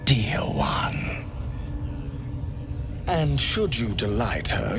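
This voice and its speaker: An actor playing a sadistic priest of a pain goddess in a game, an unctuous zealot who savours his words with theatrical, breathy reverence. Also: lowish voice, exaggerated voice. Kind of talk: dramatic game character acting.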